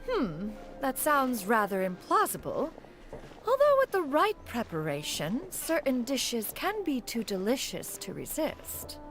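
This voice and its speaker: A woman's voice: on the high side, huge pitch swings, a little slow, over music. An actress playing a refined, proud, motherly immortal master in a video game, speaking in a formal, archaic manner. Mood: stately. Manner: formal, archaic, refers to herself as "one".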